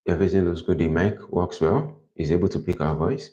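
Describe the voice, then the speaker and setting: A man with a very low, deep voice and a mellow, dark tone thinks out loud on a livestream. He rambles and keeps restating himself. The audio is dull and lo-fi, as from a livestream. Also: brisk. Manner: rambling, self-correcting, plans out loud. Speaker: livestream host. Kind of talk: casual thinking aloud. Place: quiet indoor room.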